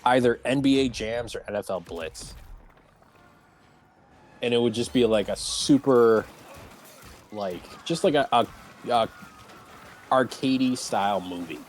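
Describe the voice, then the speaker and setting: A man's voice: mid-range voice, measured pace, long pauses, soft background music. A man hosting a casual round table chat about entertainment, speaking in an informal, conversational way.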